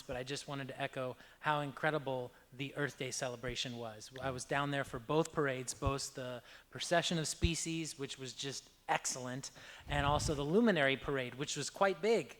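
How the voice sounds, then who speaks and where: Man, council member, enthusiastic, medium pitch, hall reverb. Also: fairly bright tone. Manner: upbeat, enthusiastic. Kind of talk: council meeting remarks. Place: council chamber.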